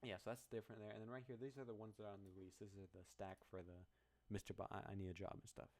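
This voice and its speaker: A man with a very low voice casually walking through his own song's project file, rambling and poking fun at himself. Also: flat delivery, very fast, a little mellow. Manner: rambling, self-deprecating, many restarts. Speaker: musician explaining his song.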